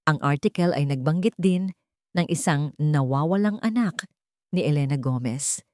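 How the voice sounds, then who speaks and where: Woman narrator, low voice, scripted dramatic storytelling, first-person delivery, dry close sound. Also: normal speed, a little mellow, dry recording.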